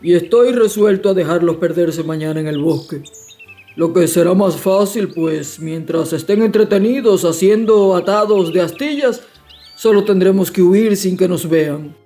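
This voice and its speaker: Woman voicing a grave, mellow woodcutter father. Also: lowish voice, moderate pace, soft background music, lightly acted. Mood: grave, resigned. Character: grave, resigned poor woodcutter father, adult man. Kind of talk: dramatized fairy-tale audiobook character.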